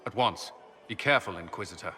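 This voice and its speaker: An actor playing a blunt, dutiful military commander in a fantasy game, terse and firm. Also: male voice, deep voice, animated, fast talker, sharp tone. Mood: firm, urgent. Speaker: military advisor. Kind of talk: fantasy game cutscene acting. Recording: long hall reverb, music behind.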